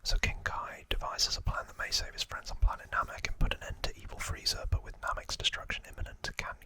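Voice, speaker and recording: A man's voice: fast, sharp tone, no echo. A man whispering an informal ASMR recap into a close microphone, hesitant and rambling as he corrects himself. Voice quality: whispered, unvoiced. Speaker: ASMR video host.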